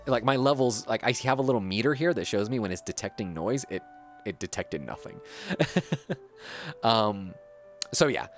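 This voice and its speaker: A man chatting casually at his home streaming setup, his pitch jumping around in a lively, animated way as he rambles and hunts for words. He sounds a little stuffy, close on a dynamic streaming mic, with faint music playing behind him. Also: measured pace. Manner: rambling, searching for words, self-correcting. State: slightly stuffy. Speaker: gaming streamer. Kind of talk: casual gameplay chat. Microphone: dynamic streaming microphone. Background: faint background music.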